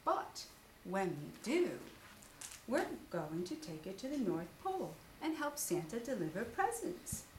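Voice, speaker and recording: A woman voicing a gentle, slow-witted, good-natured male toy bear in a mild, musing storybook manner. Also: mid-range voice, very animated. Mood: mild, hopeful. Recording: distant echoey room with faint noise.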